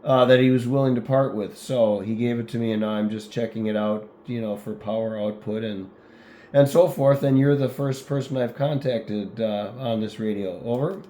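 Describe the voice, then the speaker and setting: An American man makes casual ham radio conversation in a soft, dark-toned voice. His delivery is halting and conversational, slipping into formal call-sign talk. The room around him adds clear echo. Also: mid-range voice, steady tone. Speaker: amateur radio operator. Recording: roomy echoing sound.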